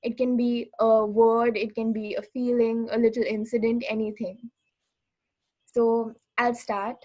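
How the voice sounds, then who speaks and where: A woman's voice: on the high side, flat delivery, a little slow, no room sound, lo-fi sound. A woman in her twenties informally facilitating an online group workshop as its host. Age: 20s. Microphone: online video call mic.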